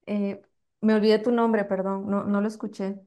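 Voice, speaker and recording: Una mujer de con acento costarricense, conferencista que responde con calma a una pregunta del público, buscando las palabras entre muletillas. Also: female voice, medium pitch, moderate pace. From Costa Rica. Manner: thoughtful, searching for words with many fillers. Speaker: guest lecturer. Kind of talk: answering an audience question.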